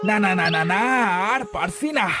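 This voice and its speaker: A man's voice: high-pitched, lively intonation, moderate pace. A man playing a whining, overheated and regretful village man in a cartoon. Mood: suffering, regretful. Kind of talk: cartoon character voice acting. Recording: music under the voice.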